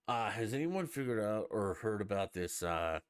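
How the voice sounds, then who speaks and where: Blunt, low-voiced man ranting on a podcast. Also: lively intonation, measured pace, clean tone, no room sound, studio quality. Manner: blunt, profane and emphatic. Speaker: podcast host. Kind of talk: opinionated solo podcast rant.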